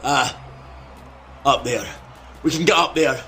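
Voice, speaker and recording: A man's voice: mid-range voice, slow, bright, forceful tone, a little hiss or hum. Urgent male survivor, played by an actor. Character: rough, decisive male fighter among zombie apocalypse survivors. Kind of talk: audio drama acting.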